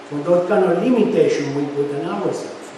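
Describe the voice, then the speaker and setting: A man giving a sermon at a temple, talking haltingly with many fillers. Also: a little high, warm tone. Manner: leads call-and-response chanting. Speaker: lecturer at a temple. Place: temple hall. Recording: echoey hall, mic at a distance, noisy.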